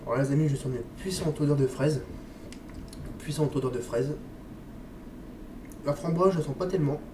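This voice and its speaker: A man's voice: fairly high pitch, normal speed. Chatty man with a head cold. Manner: chatty, hesitant, says "les amis" and "hop" often. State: stuffy with a cold, coughing. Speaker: vape liquid reviewer. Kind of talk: casual product review vlog. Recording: echoey room, distant mic, noisy.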